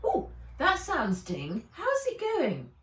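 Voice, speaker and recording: A woman voicing a chatty, upbeat young friend on a call in a children's storybook, slangy and excitable. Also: sits high, slow, roomy sound, pitched above the performer's own voice, heavily acted. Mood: cheerful, eager.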